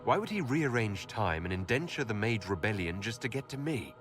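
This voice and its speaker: An actor playing a guarded, questioning leader and hero in a video game. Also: male voice, fairly low pitch, big pitch swings, a little fast, fairly bright tone, soft background music. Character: questioning, wary male elf leader and hero. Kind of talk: video game dialogue acting.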